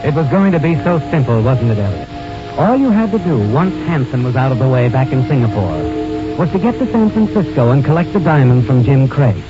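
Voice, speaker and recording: An actor playing a knowing, taunting narrator who tells a suspense story in 1940s radio style. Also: male voice, medium pitch, big pitch swings, a little fast, natural voice. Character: dramatic, knowing male narrator addressing the schemer. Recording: dull vintage radio recording with music.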